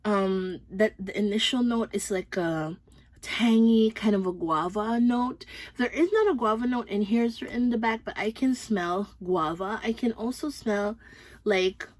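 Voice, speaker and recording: A woman's voice: mid-range voice, measured pace, no echo. A young woman from the Philippines with a Filipino accent, chatting upbeat through a fragrance review with many fillers. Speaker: beauty vlogger. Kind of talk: casual product review.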